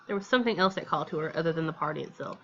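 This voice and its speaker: A woman's voice: low voice, rapid-fire, pushed and bright, lo-fi sound. An American woman reading a visual novel aloud and commenting casually as a let's play host. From Texas, United States.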